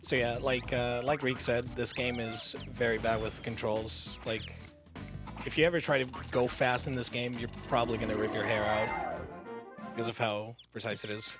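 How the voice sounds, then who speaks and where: A man's voice: mid-range voice, flat delivery, moderate pace. A man chatting casually as co-commentator during a live speedrun at a gaming marathon. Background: game music.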